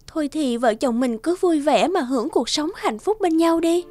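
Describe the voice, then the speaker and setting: An actress playing a gentle, faithful young princess and wife in a cartoon folk tale, speaking tenderly and pleadingly. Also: female voice, high-pitched, expressive, moderate pace, no room sound, faint music behind. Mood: anxious. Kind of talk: animated folk-tale dialogue.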